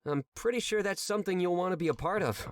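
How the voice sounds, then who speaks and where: A man's voice: high voice, fast, dry recording, lightly acted. A man voicing an easygoing, friendly furry crewmate in a visual novel, casual and light in manner.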